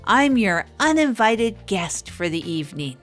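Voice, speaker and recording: Female actor as hapless radio show host; earnest, halting, self-deprecating monologue over faint music. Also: medium pitch, very animated. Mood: upbeat, rambling. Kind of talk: improvised comedy audio drama monologue.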